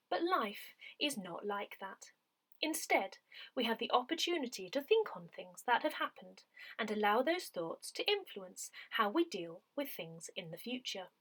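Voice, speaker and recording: A woman reading a community newsletter aloud from a script, in measured, clearly phrased sentences. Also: a little high. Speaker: newsletter reader.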